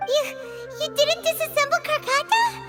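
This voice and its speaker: A woman voicing a tiny, childish, excitable floating female companion who talks about herself in the third person, in a game cutscene. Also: very high voice, moderate pace, over loud music. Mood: surprised.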